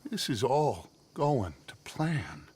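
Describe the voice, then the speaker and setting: An actor playing a charismatic, scheming American outlaw gang leader in a dramatic video game, speaking in grandiose, theatrical speeches. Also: male voice, medium pitch, big pitch swings, slow, darkish tone, a little hiss or hum. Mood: urgent, persuasive.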